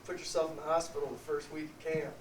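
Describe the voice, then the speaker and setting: Young man, higher voice, earnest, hesitant, far from the mic. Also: flat delivery, quick pace, a little hiss or hum. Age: young adult. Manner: sincere, hesitant, repeats words. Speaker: college football player. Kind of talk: reflective interview answers. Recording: echoey room, off-mic.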